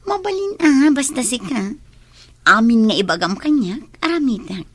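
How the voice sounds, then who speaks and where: A woman's voice: high voice, sing-song, unhurried, dry recording, full character voice. A woman acting a headstrong, lovesick young daughter and boss with a Filipino accent in a radio drama. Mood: coaxing, bossy.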